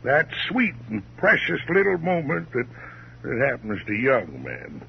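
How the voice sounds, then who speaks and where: Sly, cozy, drawling uncle from out West, creaky and very animated, old muffled radio drama. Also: male voice, medium pitch, unhurried, slight background noise, heavily acted. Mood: wistful, smooth. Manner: drawling, cozy, repetitive, familiar, mock-sweet. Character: folksy sly uncle from out West, lounging in bed. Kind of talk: 1950s radio crime drama acting.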